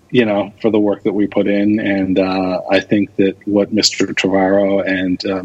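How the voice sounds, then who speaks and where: A man's voice: deep voice, breathy edge, highs cut off. A man chatting casually and with opinions as a podcast guest, speaking with enthusiasm and emphatic repetition. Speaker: podcast guest, radio drama producer.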